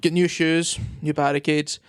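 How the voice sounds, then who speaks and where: Co-host, higher voice, dry mic, blunt banter. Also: male voice, flat delivery, moderate pace, smooth, no room sound. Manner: blunt, swearing. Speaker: podcast co-host. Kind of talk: casual chat.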